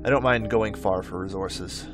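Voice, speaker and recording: A man's voice: on the low side, normal speed, loud music behind the voice. A man giving casual gameplay commentary, thinking aloud in a rambling way and often correcting himself. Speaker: host of a gameplay video.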